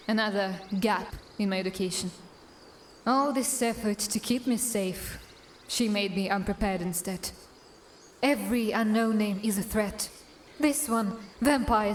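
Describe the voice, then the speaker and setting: A woman voicing a young, guarded female drow assassin in a fantasy film, speaking tersely and deliberately. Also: medium pitch, a little hiss or hum, lightly acted. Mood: wary, brooding. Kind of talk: cinematic fantasy voice acting.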